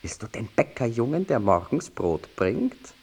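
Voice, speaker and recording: An actor playing a lovesick, melancholy gentleman poet of old Vienna, deliberate and pleading in a literary monologue. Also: medium pitch, big pitch swings, breathy edge, exaggerated voice. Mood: anxious, tender. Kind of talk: literary audio miniature, dramatic monologue.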